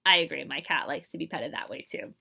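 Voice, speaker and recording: A woman reading a children's picture book aloud as a librarian, with expressive, emphatic delivery and dramatic pauses. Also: deep voice, creaky, slightly rough.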